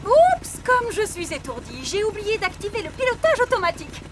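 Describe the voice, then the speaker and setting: A woman's voice: very high-pitched, very animated, normal speed, very noisy. A woman voicing a chirpy, scatterbrained rabbit rescue pilot in a children's cartoon. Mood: breezy. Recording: music behind the voice.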